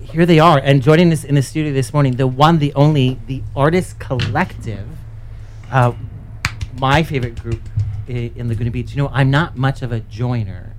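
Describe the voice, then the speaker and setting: A man hosting a morning radio show in a studio, chatty and a little halting, laughing easily. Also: mid-range voice, fairly even intonation, slight background noise. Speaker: radio host. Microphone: studio microphone.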